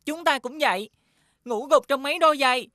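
Tiny helper guy, cartoon, high dry voice, earnest n kinda bossy but nice. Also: fairly quick, a bit bright, no room sound. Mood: friendly, welcoming. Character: tiny shoemaker, friendly hardworking kind-hearted young leader. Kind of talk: children's animated fairy tale acting.

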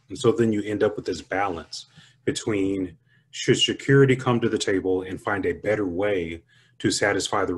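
An Italian-born man who lives in the United States, a consultant giving an explanatory webinar talk in a measured way, building points from examples and lists. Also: low voice, husky. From born in Italy, lives in the United States. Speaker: cybersecurity consultant presenting.

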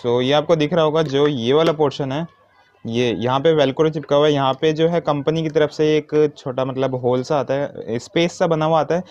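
A man's voice: a little high, steady tone, moderate pace, darkish tone, no room sound. A man giving an informal hands-on tutorial step by step, mixing in English words. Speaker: motovlogger giving a how-to demonstration. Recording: dull, muffled lo-fi sound.

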